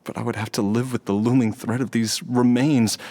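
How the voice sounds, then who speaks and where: A man's voice: mid-range voice, steady tone, brisk, warm tone, lightly acted. Man voicing a weary, resigned young patient. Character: frail, resigned young man with heart illness. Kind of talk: video game cutscene voice acting.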